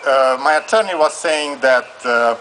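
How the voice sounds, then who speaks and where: A man from Transylvania with a Hungarian accent, telling a long, halting legal story at a live law school event. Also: mid-range voice, fairly bright tone, slight background noise, poor audio. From Transylvania, Romania. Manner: long-winded, halting, with many fillers. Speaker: audience member asking a legal question. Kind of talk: live call-in style legal question.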